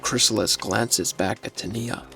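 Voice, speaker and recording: A man reading scripted story narration in a measured, descriptive manner. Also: on the low side, steady tone, creaky, fairly bright tone, faint music behind.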